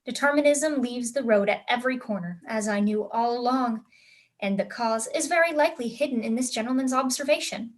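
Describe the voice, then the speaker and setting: A woman's voice: a little high, fairly quick, close to an everyday voice. A young woman acting a precocious sixteen-year-old aristocratic girl in a staged reading, emphatic and self-assured. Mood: confident, scornful. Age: young adult. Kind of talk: staged reading of a period comedy.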